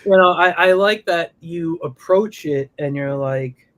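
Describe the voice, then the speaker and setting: A man hosting a casual interview over a livestream, friendly and halting, often repeating words as he starts sentences. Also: a little high, a bit bright. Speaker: host. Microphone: remote livestream call.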